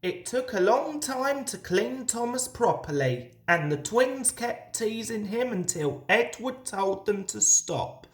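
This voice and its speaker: A woman narrating a scripted children's story as an expressive read-aloud. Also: a little high, slow, few pauses. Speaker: storybook reader.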